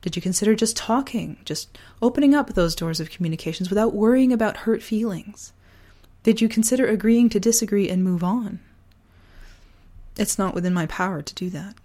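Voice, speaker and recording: A woman hosting a personal podcast, talking earnestly and deliberately, her voice partly gone from a sore throat. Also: medium pitch, mellow. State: losing voice from a sore throat virus. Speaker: podcast host. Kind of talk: personal podcast, reflective essay and casual chat.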